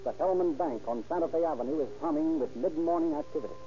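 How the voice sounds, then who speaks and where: A man narrating an old radio crime drama in a formal, measured announcing manner. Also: on the high side, mellow. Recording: dull old radio recording with music behind.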